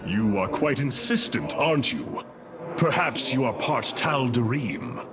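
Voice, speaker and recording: An actor playing a noble, solemn alien warrior leader in a video game, speaking in a formal, measured way. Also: male voice, mid-range voice, big pitch swings, background noise. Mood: solemn, wry. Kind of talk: video game cutscene acting. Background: music.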